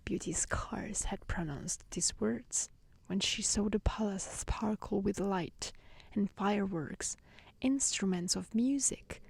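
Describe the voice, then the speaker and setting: Slow, breathy woman reading softly. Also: medium pitch, no room sound. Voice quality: breathy, often near a whisper. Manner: gentle, slow, soothing. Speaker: storyteller reading a fairy tale aloud. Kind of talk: soft-spoken ASMR storybook reading.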